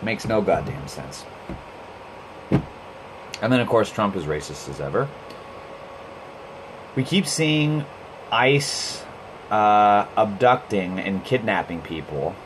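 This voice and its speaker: A man's voice: lowish voice, sing-song, slow pace, long pauses, noisy background. A man from Costa Rica hosting a live stream, talking bluntly and heatedly in a casual monologue, swearing freely and often restarting his sentences.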